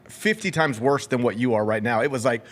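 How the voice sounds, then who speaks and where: A man's voice: mid-range voice, expressive, brisk, a bit bright. A man and a sports radio host, chatting casually and teasing his co-host. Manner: teasing, joking. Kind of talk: casual sports talk chat.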